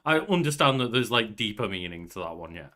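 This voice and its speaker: A man's voice: on the low side, big pitch swings, fairly quick, pushed and bright. A young man co-hosting a podcast in casual chat, with banter and some swearing. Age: young adult. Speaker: podcast co-host. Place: small bedroom at home.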